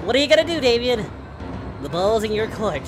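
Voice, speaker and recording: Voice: mid-range voice, sing-song, a little fast, over loud music, natural voice. A performer voicing a cheerful, childlike anime hero man, exclaiming incredulously as he reacts to videos. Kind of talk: reaction commentary.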